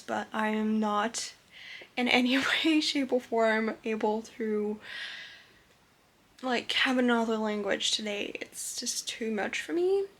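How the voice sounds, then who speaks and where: Slow, soft-spoken young woman. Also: a little high. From Switzerland. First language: French. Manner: soft-spoken, unhurried, with many fillers. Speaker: vlogger. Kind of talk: casual vlog talk to camera.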